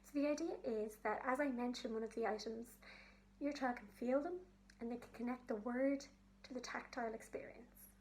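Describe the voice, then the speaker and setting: A woman telling a story to young children, in simple phrases with pauses for her listeners. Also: fairly high pitch, fairly even intonation, a little mellow, a little hiss or hum, low-quality recording.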